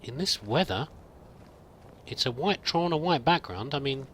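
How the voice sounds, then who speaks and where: A man's voice: medium pitch, lively intonation, normal speed, a bit bright, slight background noise. A man giving in-character let's play commentary, musing aloud and muttering to himself as he goes. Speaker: host of a roleplay let's play.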